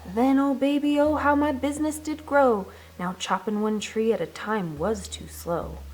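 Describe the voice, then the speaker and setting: Woman reading a rhyming storybook, higher voice. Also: steady tone, moderate pace, a little hiss or hum, low-quality recording. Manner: rhythmic, dramatic emphasis. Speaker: children's storybook reader, teacher. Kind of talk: expressive rhymed storybook narration.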